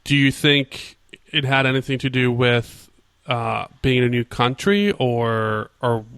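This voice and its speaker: A man's voice: medium pitch, a little slow, a bit bright, clear, resonant tone, no echo. A man in a mid-range voice hosts a casual podcast, asking probing follow-up questions and sometimes stumbling over his words. The sound is dry and close, with no room around him. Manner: curious, asks follow-up questions, some stumbles. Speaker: podcast host, interviewer. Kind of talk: casual interview.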